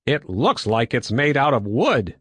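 A man's voice: medium pitch, big pitch swings, unhurried, a bit bright, husky, dry recording, heavily acted. A man reading an audiobook as a practical, observant crewman, thoughtful and matter-of-fact in manner. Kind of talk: audiobook character dialogue.

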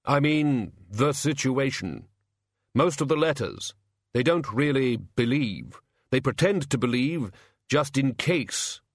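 A man's voice: mid-range voice, animated, dry recording, clean studio sound. A man voicing a red-hooded gift-bringer with a heavy, puzzled, gloomy voice. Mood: glum. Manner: slow, heavy, glum.

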